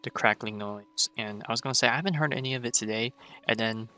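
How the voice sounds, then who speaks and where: A man's voice: mid-range voice, fast, bright, forceful tone, smooth. A man giving casual live commentary as a game streamer, rambling and thinking aloud. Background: faint game music.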